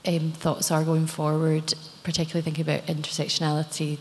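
A woman's voice: low-pitched, monotone, fast talker. A woman in a conference audience politely and hesitantly asking the panel a question. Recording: reverberant hall with faint room noise.

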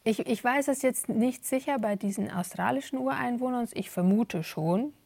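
A woman giving thoughtful, conversational interview answers, searching for her words as she goes, with a husky voice. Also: on the high side, normal speed, darkish tone. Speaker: interview guest, theatre performer.

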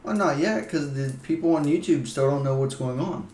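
A man's voice: a little high, moderate pace, a little mellow, roomy sound, far from the mic. A young man hosting a casual vlog, restless and easily annoyed, chatting loosely with a friend. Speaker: vlog host. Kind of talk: casual chat.

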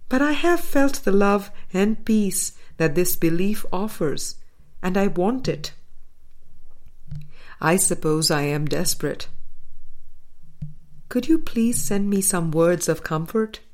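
A woman reading a spiritual book aloud as a scripted narration, in measured, deliberate phrases with pauses. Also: lowish voice, darkish tone. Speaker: audiobook reader.

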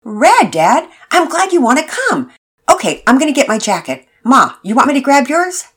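A woman's voice: high-pitched, big pitch swings, normal speed, fairly bright tone, voice pushed up. A woman voicing an earnest, eager teenage boy who stammers when moved. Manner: enthusiastic, stammering.

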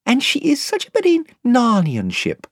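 A man voicing a gentle, dreamy young English girl in a storybook, earnest and wistful. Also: extremely high pitch, wildly swooping pitch, normal speed, husky, dry recording, studio quality, pitched above the performer's own voice, exaggerated voice.

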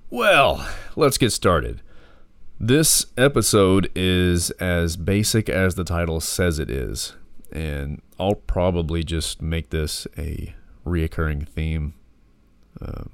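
Deep gravelly man with a hoarse voice. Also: sing-song, slow pace, no room sound, studio quality. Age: 30s. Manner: rambling, reflective, many fillers. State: hoarse from a respiratory infection. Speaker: podcast host. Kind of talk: casual solo monologue.

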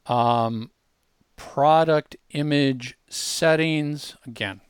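A man hosting a casual software walkthrough, explaining informally and speaking straight to the listener. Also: fairly high pitch, very slow, clean tone, no room sound, clean studio sound. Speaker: host demonstrating software.

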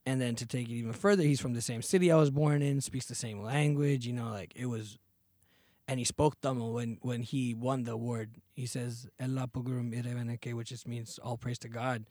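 A man in his thirties, a podcast guest and singer, telling stories in a relaxed, slangy way. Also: medium pitch, fairly quick, no echo, clean studio sound. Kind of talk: casual interview chat.

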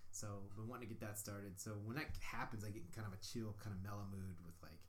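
A man's voice: on the low side, steady tone, fast talker, slight background noise. A man co-hosting a podcast in casual chat, rambling and joking with lots of fillers. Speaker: podcast co-host.

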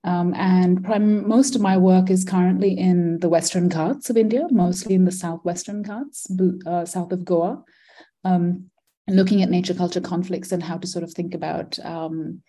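A middle-aged woman who grew up in Malaysia, speaking as an interview guest, explaining her ideas thoughtfully, with many pauses, ums and self-corrections. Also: lowish voice, steady tone, mellow. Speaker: environmental philosopher and design educator.

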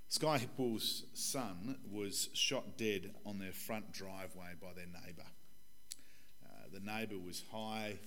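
A man in his forties, a pastor with a croaky, hoarse voice, giving a conversational and earnest sermon in a church. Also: lowish voice, a bit bright. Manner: conversational, earnest preaching with fillers. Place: church hall.

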